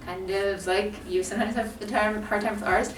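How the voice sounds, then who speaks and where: A woman's voice: mid-range voice, steady tone, moderate pace, a little hiss or hum. A young American woman co-hosting a casual chat, talkative, laughing often and filling her speech with "like". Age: young adult. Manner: chatty, laughs often, many "like" fillers. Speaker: co-host. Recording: echoey room, mic at a distance, music behind.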